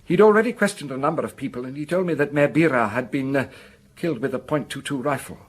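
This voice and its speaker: An actor playing an anxious, defensive village schoolmaster in a radio drama, earnest and hesitant, stumbling over repeated words. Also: male voice, mid-range voice, fast talker, husky, close to an everyday voice. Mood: nervous, defensive.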